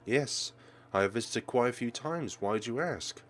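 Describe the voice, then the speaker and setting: A man voicing a doting, cheerful mother in a visual novel, gentle and affectionate with a teenage child. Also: mid-range voice, animated, moderate pace, soft background music, lightly acted. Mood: gentle, upbeat.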